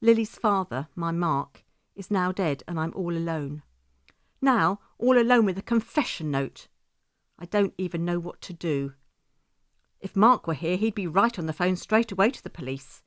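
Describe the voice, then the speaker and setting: A woman's voice: lowish voice, expressive, moderate pace, no echo, studio quality, close to an everyday voice. A woman playing a grieving, bitter widowed mother, telling her story in a first-person audio drama monologue. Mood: sorrowful, reflective.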